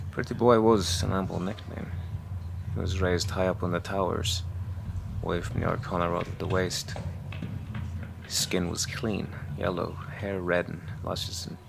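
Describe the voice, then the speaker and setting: Man acting a gravelly, low-voiced troubled priest. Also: little pitch movement, unhurried, pauses often, background noise. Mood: awed. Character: troubled, hesitant priest narrating a dark fantasy tale. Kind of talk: dark fantasy audio drama narration. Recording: echoey voice with music underneath.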